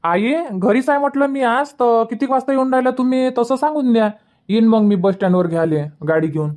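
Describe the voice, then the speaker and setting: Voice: medium pitch, a little fast, darkish tone, close to an everyday voice. An actor voicing a put-upon young village son in a cartoon comedy, with a Varhadi Marathi accent and a whining, self-justifying manner. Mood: pleading. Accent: Varhadi Marathi from Vidarbha.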